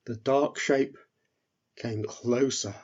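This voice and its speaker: A man reading a children's story as narrator, in a measured, expressive way. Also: medium pitch, slightly rough, highs cut off. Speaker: storyteller, author reading own work.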